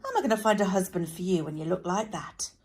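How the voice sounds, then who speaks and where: A man's voice: high-pitched, animated, fast talker, a little mellow, slight background noise. A woman voicing an exasperated, protective father who scolds and blusters in a storybook reading. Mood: regretful scolding.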